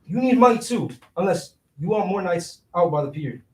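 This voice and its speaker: A man's voice: sits high, lively intonation, moderate pace, noisy background. An actor playing a scheming, broke young city man in a staged reading, talking in slangy, persuasive street phrasing. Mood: insistent, persuasive. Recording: roomy echo, distant microphone.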